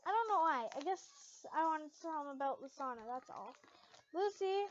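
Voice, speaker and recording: A woman's voice: high voice, animated, fairly quick, fairly bright tone, muffled recording. A woman reading a story aloud in a dramatic amateur style, sometimes stumbling over words and correcting herself. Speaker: fan fiction reader.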